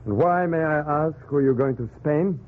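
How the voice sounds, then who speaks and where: An actor playing a stern, skeptical colonial governor sitting as judge, commanding and sardonic in 1940s radio style, on a tinny old recording. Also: male voice, fairly high pitch, moderate pace, lightly acted.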